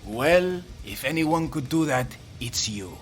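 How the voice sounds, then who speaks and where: A man's voice: medium pitch, lively intonation, slow, faint music behind. A man playing a wry, sarcastic rival fighter and grudging ally in an audio drama. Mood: wry, irritated.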